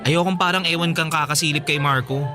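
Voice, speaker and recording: A man's voice: a little high, steady tone, brisk, natural voice. A man playing an earnest, lovestruck teenage schoolboy who courts persistently and pleadingly in a radio romantic comedy drama. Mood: eager, tender. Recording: background music under dialogue.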